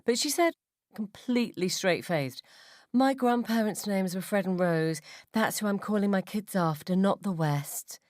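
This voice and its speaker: A woman's voice: medium pitch, unhurried, a little mellow, no echo, clean studio sound, natural voice. A woman playing a scornful, gossipy mother at the school gates in a radio story, with an emphatic, mocking drawl. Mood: mocking incredulity.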